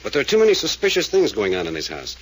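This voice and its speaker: An actor playing a blunt, insistent private investigator in a 1940s radio drama, speaking in a firm, probing way. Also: male voice, lowish voice, huge pitch swings, very fast, husky, heavily acted. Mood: matter-of-fact. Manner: firm, probing, matter-of-fact. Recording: noisy vintage radio recording.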